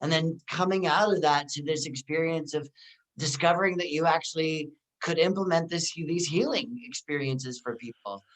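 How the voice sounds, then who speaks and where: A man's voice: fairly high pitch, moderate pace, low-quality recording. A man hosting an interview podcast, talking casually and eagerly praising his guest's story. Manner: warmly enthusiastic, rambling affirmations. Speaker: podcast host.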